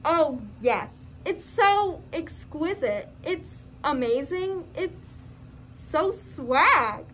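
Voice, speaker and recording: A woman's voice: high-pitched, animated, extremely slow, fairly bright tone, full character voice, low-quality recording. A young woman voicing a gushing, eager female puppet in a scripted puppet show. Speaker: student puppeteer. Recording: faint background noise.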